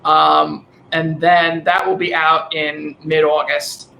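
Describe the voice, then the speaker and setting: A man with a high, thin voice and a Delaware County accent, a musician guest on a remote podcast call, rambling and restarting often. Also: little pitch movement, creaky, sharp tone, roomy sound, slight background noise, low-quality recording. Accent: Delaware County, Pennsylvania. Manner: rambling, halting with many restarts. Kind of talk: casual remote podcast chat. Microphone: dynamic vocal mic over a call.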